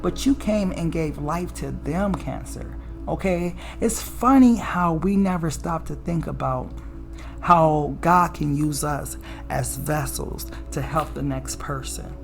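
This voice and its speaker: A woman with a raspy alto voice giving a casual, preachy spiritual tarot reading, often checking in with "okay?" and "right?". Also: slow pace, faint music behind. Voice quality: low alto voice. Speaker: tarot reader.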